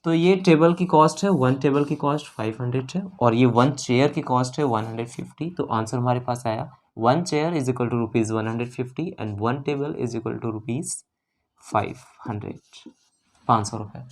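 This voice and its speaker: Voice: mid-range voice, measured pace. A teacher explains exam maths step by step, repeating figures and steps as they go.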